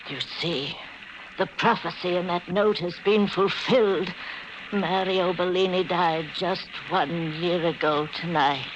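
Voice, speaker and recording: A woman's voice: lowish voice, measured pace, dull audio, lightly acted. An actress playing a frightened, superstitious elderly woman with dramatic insistence in 1940s radio horror. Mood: grave. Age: older. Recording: noisy old radio recording.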